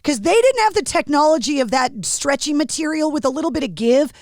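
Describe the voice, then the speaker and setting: A woman hosting an interview podcast, chatting casually with a playful, quick wit. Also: high-pitched, expressive, a little mellow, dry recording, studio quality. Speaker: podcast host.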